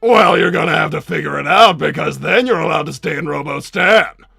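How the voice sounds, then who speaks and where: A man voicing an egomaniacal, scheming cartoon villain scientist in a theatrical, booming, shouting manner. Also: brisk, gravelly, slightly rough, no echo, studio quality, soft background music, exaggerated voice. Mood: menacing. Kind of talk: improvised character livestream Q&A.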